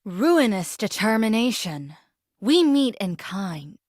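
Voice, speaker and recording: An actress playing a solemn, dutiful ancient warrior woman in a video game, speaking in formal, measured, archaic phrases. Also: medium pitch, big pitch swings, darkish tone, dry recording, studio quality. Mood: grave, formal. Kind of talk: video game character voice lines.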